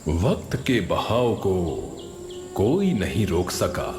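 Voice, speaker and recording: A man voicing a wise, solemn old village guru who makes slow, formal pronouncements in a moral story. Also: on the low side, sing-song, roomy sound, background noise. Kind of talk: animated moral story dialogue.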